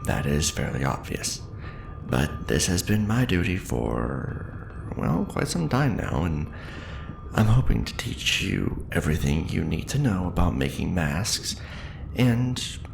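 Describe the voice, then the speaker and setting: A man playing a seasoned, patient and wry craftsman teacher in an ASMR roleplay, speaking in a measured, hushed way with dry humor. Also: low voice, vocal fry, roomy sound, background noise, heavily acted. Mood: wry, welcoming. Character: seasoned male sci-fi fantasy mask-forging craftsman teacher, patient, wry. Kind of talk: ASMR roleplay, instructional monologue.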